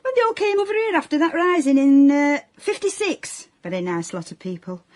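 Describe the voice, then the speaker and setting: A woman's voice: sits high, big pitch swings, a little fast. An actress playing a nagging older mother in a radio comedy drama, dry, sceptical and wistful, with a South Yorkshire accent. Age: middle-aged or older.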